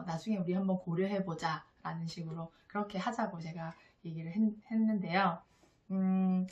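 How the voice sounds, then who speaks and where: A woman's voice: medium pitch, darkish tone. A woman giving a casual, earnest and encouraging spoken book review to viewers as a vlogger. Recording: echoey room.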